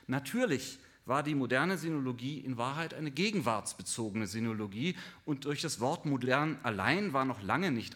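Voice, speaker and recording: An older man, a professor, giving a formal lecture in a measured, dryly ironic way, sometimes halting on fillers. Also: medium pitch, fairly bright tone. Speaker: professor of Chinese studies.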